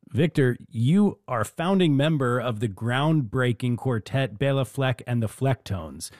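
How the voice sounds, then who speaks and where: A man's voice: mid-range voice, darkish tone, no echo, studio quality. A man hosting a music show, interviewing young musicians and introducing guests. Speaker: radio music show host.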